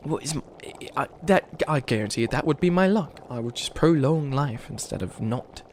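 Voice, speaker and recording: A man's voice: mid-range voice, animated, normal speed, slight background noise, lightly acted. A man voicing an ancient bunny witch, a failed villain who is flustered and kindly, rambling and stammering through a comedic ASMR roleplay. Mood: wistful, exasperated. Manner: rambling, stammering, apologetic, trails off. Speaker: mentor to a new apprentice.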